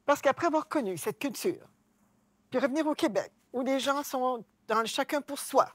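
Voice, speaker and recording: Earnest Québécois woman, higher voice. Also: expressive, normal speed, dry recording. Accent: Québécois French. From Quebec. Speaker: discussion participant. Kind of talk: personal storytelling.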